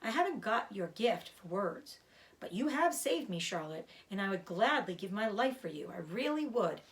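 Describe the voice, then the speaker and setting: A woman's voice: medium pitch, moderate pace. A woman voicing an earnest, emotional young male pig in a children's storybook, pleading and devoted. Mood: earnest, eager. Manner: pleading, excitable, swooping upward. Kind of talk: children's storybook read-aloud.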